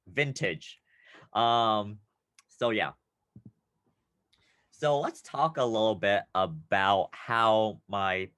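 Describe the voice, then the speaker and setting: Man, teacher, playful, upbeat, low voice, dry sound. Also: dry recording. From United States. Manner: upbeat, playful, with bursts of excitement. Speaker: teacher presenting a class video. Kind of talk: friendly classroom lesson.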